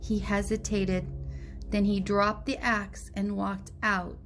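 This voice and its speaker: A woman teacher reading a storybook aloud to young children in a deliberate, direct way. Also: mid-range voice, flat delivery, faint music behind.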